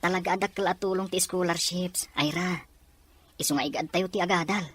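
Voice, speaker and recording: A woman's voice: fairly low pitch, steady tone, brisk, a bit bright, husky. A woman playing an encouraging young student friend in a drama. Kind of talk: drama acting.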